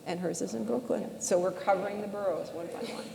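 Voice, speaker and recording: A woman's voice: medium pitch, fairly even intonation, fairly quick, vocal fry. A woman speaking conversationally on a panel, feeling her way through her points with qualified phrasing. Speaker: panel speaker, biotech co-founder. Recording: echoey hall, background noise.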